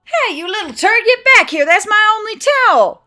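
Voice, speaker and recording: A woman's voice: very high voice, lively intonation, moderate pace, bright, forceful tone, soft background music, voice pushed up, heavily acted. Lady doing a grumbly sarcastic young woman adventurer, fantasy story, snappy and fed up. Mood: furious shouting.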